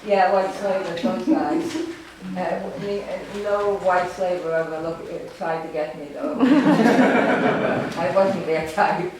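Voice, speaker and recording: An older woman, a German native speaker from Romania, giving an informal talk about her life, halting and rambling with lots of fillers and wry self-deprecating humor. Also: lowish voice, crowd noise. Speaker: artist giving a gallery talk. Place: art gallery room. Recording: echoey gallery, distant, noisy ambience.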